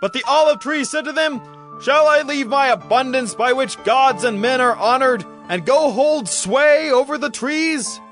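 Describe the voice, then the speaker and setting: An actor playing a defiant youngest brother who proclaims a fable loudly in a dramatized scripture audio production. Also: male voice, extremely high pitch, unhurried, soft background music. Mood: defiant, proclaiming. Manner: loud proclaiming oratory. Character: Jotham, youngest brother, defiant storyteller crying aloud.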